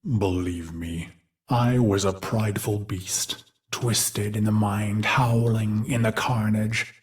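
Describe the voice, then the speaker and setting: A man voicing a brooding warrior machine mind in a video game, recalling a brutal past in a grave, theatrical monologue with deliberate phrasing. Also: lowish voice, fairly even intonation. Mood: bitter, confessional. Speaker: game character narrator. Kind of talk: dramatic scripted game monologue.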